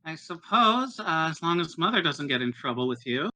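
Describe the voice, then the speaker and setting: A man playing a tabletop roleplaying game, improvising in character in a hesitant, halting way. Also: fairly high pitch, sing-song, pushed and bright, low-quality recording.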